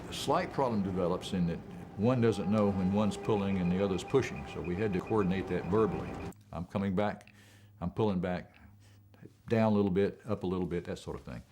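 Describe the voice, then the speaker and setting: A middle-aged man, an airline pilot, recounting his experience in an interview in a measured, matter-of-fact way. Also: sits low, noisy background.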